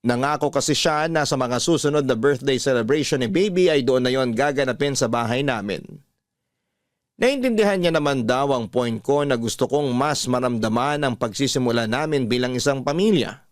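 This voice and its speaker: A Filipino man narrates a love story in radio style, reading from a script. His voice sits at a medium pitch in a very dry studio recording. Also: normal speed, no room sound.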